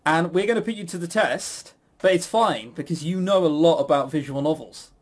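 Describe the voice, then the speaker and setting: Male podcast quizmaster, slightly high voice, chatty and rambling, self-mocking humor with casual swearing. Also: a little fast. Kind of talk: casual banter.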